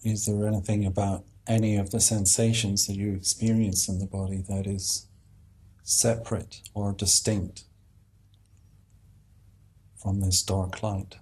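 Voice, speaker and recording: A man leading a guided meditation as a teacher, speaking in an unhurried, halting way with long pauses. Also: lowish voice, little pitch movement, a little mellow, breathy edge, rough recording.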